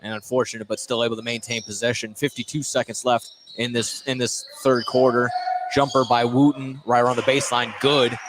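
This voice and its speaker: A man calling live high school basketball play-by-play on the radio as the game's announcer. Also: level tone, a little fast. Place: indoor school gymnasium during a game. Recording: noisy gym, loud background noise.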